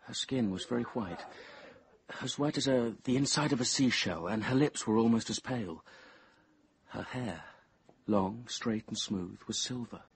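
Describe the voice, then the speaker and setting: An actor playing a brooding, obsessive young man who narrates his confession in measured, intense tones, at times near a whisper. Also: medium pitch, husky. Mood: entranced. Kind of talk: radio drama first-person narration.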